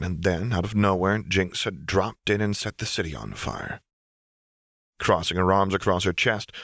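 A man with a low voice narrates a story in a dramatic, scripted audiobook style. The recording is close and dry, with no room sound. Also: a bit bright, no room sound.

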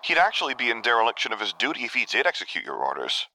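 A man's voice: medium pitch, wildly swooping pitch, racing, vocal fry, sharp tone, slight background noise, voice pushed up, full character voice, rough recording. A man voicing a cheeky young son with a cheery, sarcastic, falsely innocent manner in an audiobook story. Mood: bright insolence.

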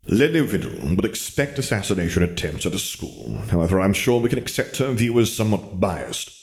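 A man's voice: low voice, very animated, a little fast, a little mellow. A man reading aloud, voicing an irritable, wary male wizard who speaks in an aggrieved, muttering way. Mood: lecturing.

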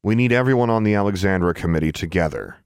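A man's voice: deep voice, expressive, fast talker, clear, resonant tone, dry recording. A man voicing a defiant, sarcastic teenage schoolgirl in an audiobook, in a dry, blunt manner. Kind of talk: audiobook character dialogue.

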